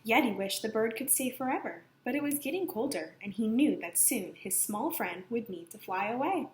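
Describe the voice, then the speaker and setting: A woman reading a children's picture book aloud with expressive, dramatic emphasis. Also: a little high, normal speed. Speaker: storybook reader. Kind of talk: animated children's read-aloud narration. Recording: echoey room, slight background noise.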